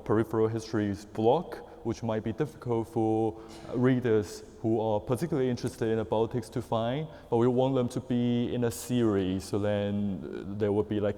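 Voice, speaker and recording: A man's voice: on the low side, mellow, in a large hall. A man from Taiwan, a postdoctoral researcher, speaking on a panel in a hesitant way with many fillers. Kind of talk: academic panel talk.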